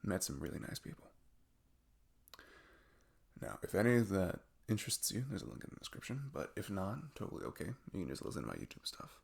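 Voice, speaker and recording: Low, creaky-voiced man making a casual announcement. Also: huge pitch swings, fairly quick. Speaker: audio roleplay creator. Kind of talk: casual spoken channel announcement.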